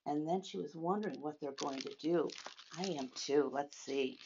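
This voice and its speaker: A woman teaching and reading a story aloud to young children, speaking in simple, deliberate phrases and asking them questions. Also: deep voice, gravelly, highs cut off, noisy background.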